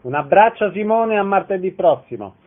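Casting: male radio host, fairly high voice, casual chat, narrow telephone-like sound. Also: animated, normal speed.

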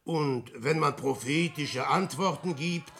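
An actor playing a boastful, lecherous adventurer posing as a prophet in a verse radio play, declaiming theatrically between musing and crowing. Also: male voice, a little high, slow, gravelly, a bit bright, full character voice. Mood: smug musing.

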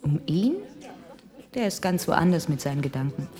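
A woman's voice: sits low, lively intonation, moderate pace, over music. An actress playing a curious young woman in a radio drama. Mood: intrigued. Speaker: actor in a radio play. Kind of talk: German radio drama acting.

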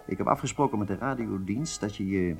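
An actor playing an agitated, businesslike intelligence handler in a radio drama, with halting delivery and emphatic outbursts. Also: male voice, on the low side, creaky, faint music behind. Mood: brisk, businesslike.

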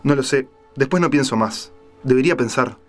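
A man's voice: medium pitch, moderate pace, faint music behind, lightly acted. Man playing a frightened, placating captive journalist. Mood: anxious, placating. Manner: hesitant, placating, careful. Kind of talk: dramatized audiobook reading.

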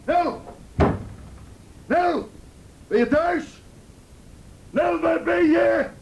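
A man's voice: very slow, heavily acted. An actor playing a menacing, desperate man who bullies and pleads with his partner in a radio play, in a coarse, commanding way. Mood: angry, shouting. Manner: coarse, commanding, swinging between threats and pleading. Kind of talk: Dutch radio play acting. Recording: noisy radio play recording with rumble.

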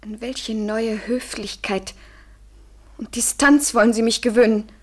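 Hurt proud young woman, highish voice, bitter n fragile, intimate radio play. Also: steady tone, measured pace. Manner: swings between breathy murmurs and sharp reproach. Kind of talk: intimate German radio drama acting.

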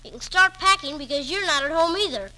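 Young boy, brash and slangy, bright high voice, old radio drama. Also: lively intonation, fairly quick, exaggerated voice. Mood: defiant, bossy. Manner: slangy, blunt, bossy. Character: brash, cocky young boy, devoted son. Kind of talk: 1940s radio drama acting. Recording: noisy 1940s radio broadcast recording.

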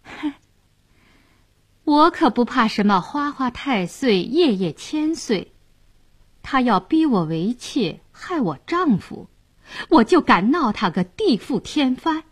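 A woman's voice: fairly high pitch, sing-song, takes it slowly, husky, dry recording, voice pushed up, full character voice. A woman storyteller voicing a defiant, clever young wife in a bold, scornful, theatrical manner. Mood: scornful defiance.

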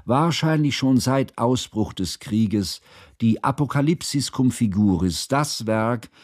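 A man acting as a learned, devoted bourgeois biographer in a radio drama, narrating in a formal, ornate and deliberate way. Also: medium pitch, expressive, a little mellow, no room sound, studio quality. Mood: measured, recollecting. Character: learned, devoted bourgeois biographer recalling his composer friend.

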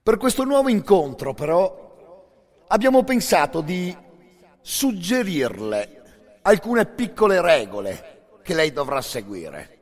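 Give the voice of a menacing, falsely courteous Nazi-era boxing bureaucrat, acted by a man in radio theatre. He is pitched high and stretches his words with pompous emphasis. The sound rings in a long hall reverb. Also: very animated, full character voice. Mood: imperious threat. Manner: mock-courteous, emphatic, drawn-out delivery.

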